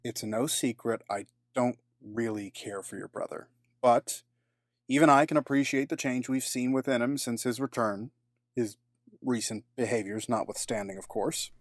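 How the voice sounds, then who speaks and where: A man playing a diplomatic confidant in an audio drama, speaking in measured, rather formal phrases. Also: mid-range voice, no room sound, studio quality, close to an everyday voice.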